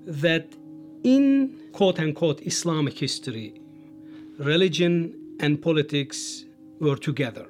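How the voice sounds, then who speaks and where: A man from Turkey, a history scholar, explaining in a measured way and stressing key words. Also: fairly high pitch. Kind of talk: interview excerpt, explanatory.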